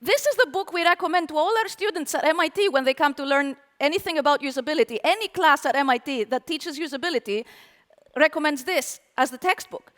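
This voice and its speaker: A woman with a very high-pitched voice giving a lively, playful tech talk at a live conference, asking the audience questions. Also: a little fast, in a large hall. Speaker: conference speaker.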